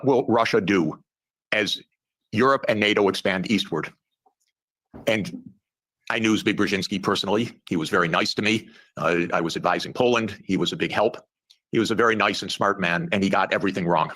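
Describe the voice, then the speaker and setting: Bright-voiced sarcastic male analyst. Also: lowish voice. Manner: deliberate, dryly sarcastic. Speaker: guest analyst. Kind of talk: measured commentary.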